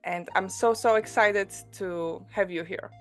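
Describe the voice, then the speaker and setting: A woman hosting a podcast, welcoming her guest and asking questions in a gentle, unhurried way. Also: medium pitch, steady tone, soft background music. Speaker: podcast host. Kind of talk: interview hosting.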